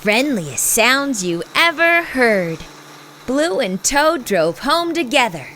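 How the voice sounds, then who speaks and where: A woman reading a children's storybook aloud with an animated, deliberate delivery. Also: high-pitched, slight background noise. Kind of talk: scripted storybook narration, read aloud.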